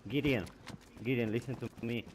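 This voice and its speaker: Argentine man in halting, basic English. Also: mid-range voice, steady tone, slight background noise. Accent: Argentine Spanish. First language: Spanish. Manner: halting basic English, simple repeated phrases. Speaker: new player on a game server. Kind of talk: in-game voice chat.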